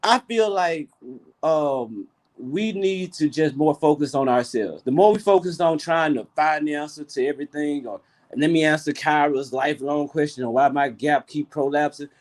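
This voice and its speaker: A young man with a Southern Memphis accent hosting a loose group conversation. He talks in a rambling, profane way, full of restarts. His voice sits somewhat high for a man. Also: normal speed. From South Memphis, USA. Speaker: host of an open discussion. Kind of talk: casual group chat.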